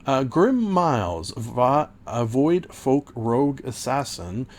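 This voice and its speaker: A man hosting a podcast, casually recapping an old tabletop campaign, rambling with many fillers and self-corrections, and a little hoarse. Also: medium pitch, big pitch swings, takes it slowly. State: losing his voice. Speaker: podcast host and game master.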